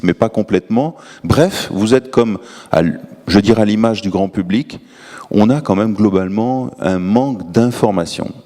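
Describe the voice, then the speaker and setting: Man, very low and mellow voice, halting panel talk, long hall reverb. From Tours, France. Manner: hesitant, many fillers and restarts. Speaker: energy syndicate official on a panel. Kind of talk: conference panel remarks. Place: large conference hall.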